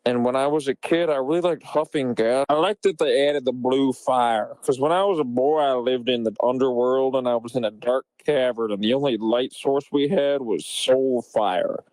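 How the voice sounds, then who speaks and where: A man's voice: medium pitch, fairly even intonation, normal speed, hardly any pauses, darkish tone, no echo, poor audio. A young man on a podcast improvising absurd comic memories, earnest and repetitive in simple sentences. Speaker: podcast member. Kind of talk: improvised deadpan comedy storytelling.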